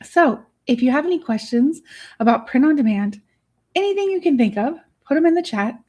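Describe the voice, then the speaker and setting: Hoarse raspy woman hosting a live stream, kinda high voice, giggly and chatty. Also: animated, fairly quick, warm tone. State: hoarse, worn voice. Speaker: livestream host. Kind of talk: casual live chat. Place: home studio. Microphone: studio microphone.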